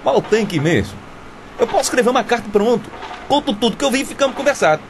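An actor playing a nervous, submissive, uneducated Brazilian bricklayer in a radio soap, hesitant and stammering. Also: male voice, very high voice, expressive, quick pace, background noise. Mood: anxious. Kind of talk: radio soap opera acting.

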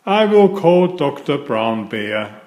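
A man voicing a calm, caring lady gazelle from a storybook, with reassuring, clearly stressed phrases. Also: fairly high pitch, slow pace, roomy sound.

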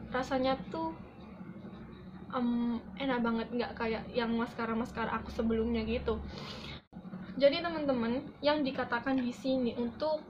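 Casting: young woman, highish voice, informal beauty-vlog chat that repeats words for emphasis, dull home recording. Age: young adult. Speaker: beauty vlogger. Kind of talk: casual product review to camera. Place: small room indoors. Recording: dull lo-fi audio with loud background noise.

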